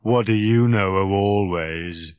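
Hollow, slow, gloomy old robot. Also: male voice, fairly low pitch, no echo. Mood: bitter. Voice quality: hollow, rasping. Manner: slow, groaning, droning complaint. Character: worn, ancient, gloomy, self-pitying male robot of scarred metal.

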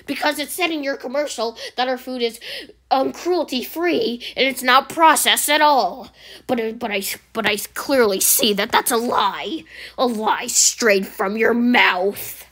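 A woman's voice: high-pitched, fairly bright tone. A woman playing a stern angry male customer. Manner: slow, stern, accusing.

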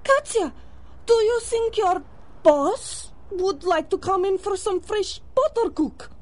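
An actress playing a fretful, excitable older mother in a 1930s-style radio drama. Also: extremely high pitch, slow, exaggerated voice. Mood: flustered. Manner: fretful, exclaiming.

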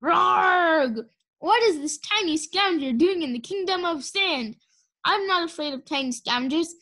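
A woman's voice: very high voice, animated, a little slow, bright, forceful tone, pitched above the performer's own voice, exaggerated voice. A woman voicing a small young female dragon who is nervous and puts on a self-important queenly act in a storybook. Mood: grandly theatrical. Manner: hesitant, then theatrically self-important.

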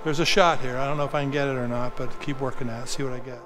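A man's voice: medium pitch, steady tone, racing, over music. A middle-aged man, a photographer, talking casually as he walks and shoots, thinking aloud with restarts, recorded on a body-worn action camera. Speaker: photographer vlogger. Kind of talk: casual vlog narration. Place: outdoors and in a train station.

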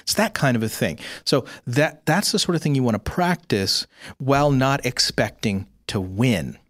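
A man's voice: medium pitch, expressive, moderate pace, a bit bright, no echo. Man chatting casually on a podcast, dry studio sound. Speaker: podcast co-host. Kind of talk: casual chat about games.

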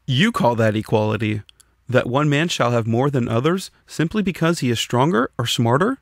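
A man's voice: medium pitch, lively intonation, normal speed, no echo, clean studio sound. A man voicing a sceptical, combative communist who puts probing questions in a scripted audiobook dialogue. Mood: indignant, challenging. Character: sceptical, challenging communist debater.

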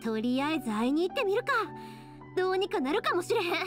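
A cheerful young anime game heroine speaks in Kansai dialect. Her voice is high and swings freely in pitch. She is brisk, informal and caring. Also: big pitch swings, over music. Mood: brisk, determined. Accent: Kansai Japanese. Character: cheerful, caring young woman who runs fireworks shop. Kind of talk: anime-style video game acting.

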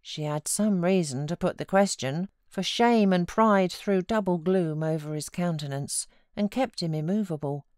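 A woman's voice: fairly low pitch, moderate pace, few pauses, a little mellow, no room sound, studio quality. A woman with a British accent narrating a classic novel expressively, as a professional audiobook reader.

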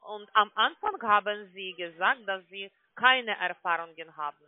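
A very high-voiced man comes through a tinny phone line. He has a foreign accent and works through a sales pitch haltingly, often asking the other person to repeat. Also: no room sound. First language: not German. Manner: halting, often asks for repetition. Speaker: call-centre sales agent.

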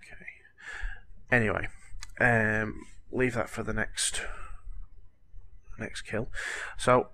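Guy with a cold, low flat voice, kinda croaky, chatty rambling game tips. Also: slow, a little hiss or hum. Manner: chatty, rambling, apologetic, lots of "you know". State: has a cold, voice cracking. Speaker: gaming tutorial host. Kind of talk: casual game tutorial voice-over.

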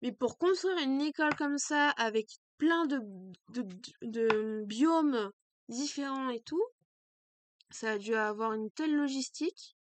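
A young woman streaming a game, chatting casually and excitably with a stuffy, congested nose, at a desk mic in a small room. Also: sits high, measured pace, no echo. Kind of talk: let's play commentary.

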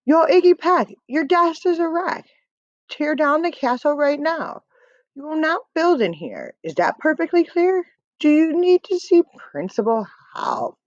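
A woman's voice: high-pitched, big pitch swings, a little slow, dry recording, highs cut off, voice pushed up. A woman voicing a stern female second-grade teacher from a storybook, emphatic and exclamatory, in a read-aloud. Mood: angry scolding. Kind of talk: storybook character voice.

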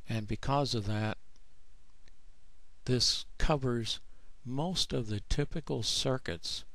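A man narrating a screen tutorial as the author, explaining plainly in a measured way. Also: medium pitch, creaky, fairly bright tone, no room sound. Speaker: author presenting his own book.

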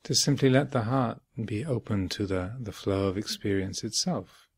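A man giving guided meditation instruction as a teacher, speaking in measured short phrases with long pauses between them. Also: fairly low pitch, vocal fry, breathy edge, no room sound. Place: retreat center, close dry recording.

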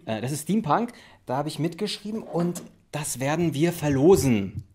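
Lively man presenting in casual chat. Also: on the high side, moderate pace, a little hiss or hum. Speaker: presenter, writer and actor. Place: living room, indoors.

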